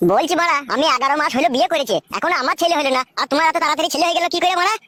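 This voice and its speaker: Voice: high-pitched, rapid-fire, sharp tone, no room sound. A performer voicing a resentful young married son in a comedy cartoon, complaining coarsely. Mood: grumbling. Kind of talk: village comedy cartoon dubbing. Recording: music behind the voice.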